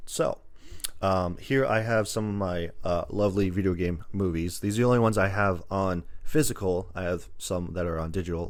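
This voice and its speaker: Guy with a lowish voice hosting a podcast, rambles along casually, lists stuff off. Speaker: podcast host. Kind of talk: casual chat.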